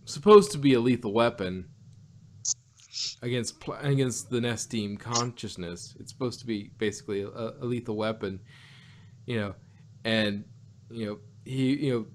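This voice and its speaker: A man's voice: mid-range voice, moderate pace, a little hiss or hum. A man co-hosting an audio drama review podcast, talking in a rambling way with many restarts and fillers. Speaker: podcast co-host. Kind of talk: casual chat.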